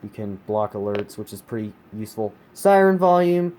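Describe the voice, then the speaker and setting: A man's voice: fairly low pitch, big pitch swings, normal speed, dark tone. A man from the United States giving a casual, emphatic hobbyist product review, repeating words for stress. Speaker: collector reviewing his weather radios. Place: carpeted room at home. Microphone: handheld phone camera, speaker off screen. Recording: noisy home phone-camera recording.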